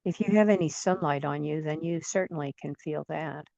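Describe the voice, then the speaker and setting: A woman leading guided imagery in a soothing, gentle manner, leaving long pauses between phrases. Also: deep voice, creaky, dry recording, highs cut off. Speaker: guided meditation leader.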